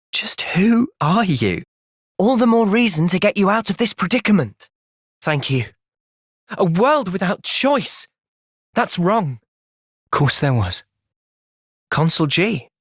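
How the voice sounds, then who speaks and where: Earnest young English soldier hero, close and dry. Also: male voice, high-pitched, a bit bright, dry recording, full character voice. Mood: troubled, pensive. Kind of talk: video game cutscene voice acting.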